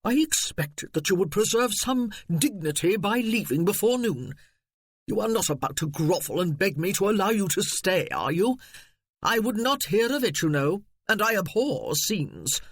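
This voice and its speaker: A puffed-up, blustering gentleman cousin, as a male narrator puts him on in a period storybook. He talks high and loud with big swings in his voice, full of his own importance. The recording is dry and close. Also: lively intonation, normal speed, husky, no echo. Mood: pompous. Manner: pompous, blustering, then fawning. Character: pompous blustering male cousin, middle-aged.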